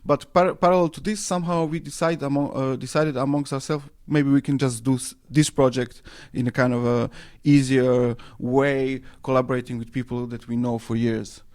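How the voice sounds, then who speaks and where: A man who grew up in Serbia and speaks English as a second language. He answers radio interview questions in a halting way, full of fillers and restarts as he searches for words. His voice sits at an ordinary middle pitch. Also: steady tone, darkish tone. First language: Serbo-Croatian. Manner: hesitant, many fillers and restarts. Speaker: interview guest, anarchist newspaper editor.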